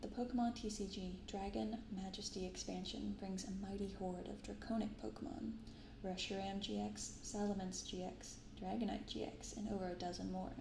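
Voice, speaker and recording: A soft-spoken woman hosting an ASMR video, reading aloud and commenting casually in a gentle, quiet delivery. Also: medium pitch, steady tone, moderate pace, gravelly, hardly any pauses. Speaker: host of a card-opening channel. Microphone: binaural microphone. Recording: roomy sound with some background noise.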